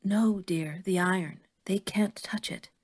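A woman playing a kindly, worried older woman who believes in fairies, gentle and motherly in an audio drama. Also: medium pitch, unhurried, husky. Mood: worried, urging.

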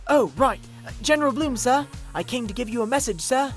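A woman's voice: fairly high pitch, lively intonation, brisk, faint music behind, close to an everyday voice. A woman voicing an eager, deferential little filly lieutenant in a cartoon parody. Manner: eager, deferential military address. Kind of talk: animated parody dubbing.

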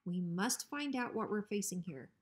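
A woman's voice: mid-range voice, animated, normal speed, close to an everyday voice. A woman voicing a pompous, bossy but cowardly male ranch dog in a children's storybook. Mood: officious. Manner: officious, blustering.

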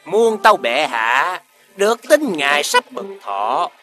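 A pompous envoy from a fairy-tale cartoon. The voice is high and extremely animated, scolding and demanding. Music plays clearly behind it. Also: male voice, slow, full character voice. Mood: smug, formal. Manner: haughty, scolding. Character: arrogant, demanding male envoy of a great kingdom.

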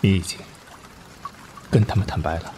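A man's voice: deep voice, normal speed, noisy background, close to an everyday voice. A man playing a passionate, betrayed young army officer in an emotional radio drama. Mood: subdued pleading. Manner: impassioned, breaking into bitter laughter and hushed pleading.

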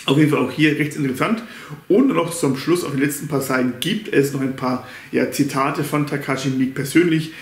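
A man's voice: on the high side, brisk, roomy sound. A man in his forties giving a casual, rambling film review from his living room, repeating himself and stumbling over names. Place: living room at home, indoors. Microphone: camera on a stand in front of an armchair.

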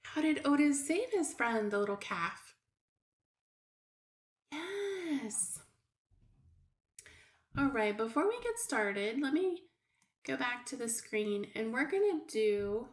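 A middle-aged woman talks to small children as their preschool teacher. Her pace is unhurried and she explains simply, pausing as she goes. The sound is roomy, as if recorded in a small room at home. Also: on the high side, very animated. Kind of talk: read-aloud lesson for young children.

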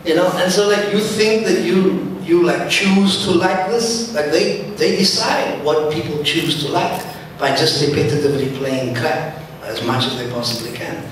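A man's voice: on the high side, moderate pace. A man with a South African accent giving informal, outspoken panel talk with slang and emphasis. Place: large lecture hall. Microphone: handheld microphone. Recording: echoey hall, distant, faint noise.

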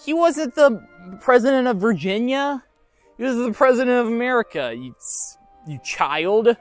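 A young man rambling through a toy unboxing outdoors by a field, joking deadpan and halting with lots of fillers. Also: very high voice, faint music behind. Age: young adult. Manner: rambling, self-mocking, halting with many fillers. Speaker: YouTuber unboxing toys. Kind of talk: jokey deadpan unboxing vlog. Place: outdoors by a field under trees. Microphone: lapel mic.

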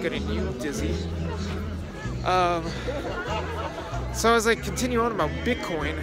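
A young man chatting to his phone camera held at arm's length as he walks through a city square at dusk, giving a casual tour. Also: high voice. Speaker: vlogger. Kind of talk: casual walk-and-talk vlog.

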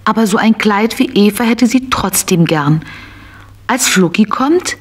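A woman's voice: medium pitch, expressive, unhurried, breathy edge, faint music behind. A woman narrating a scripted children's story as part of a radio play.

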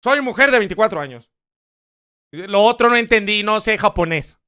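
A man's voice: high voice, animated, moderate pace, bright, forceful tone, clear, resonant tone. A Mexican man streaming, reacting to clips in joking, crude casual commentary.

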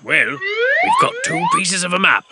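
An actor playing Captain John, a jolly bearded pirate captain, in a cartoon with music behind. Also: male voice, very high-pitched, wildly swooping pitch, a little slow, very noisy, heavily acted. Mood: hearty.

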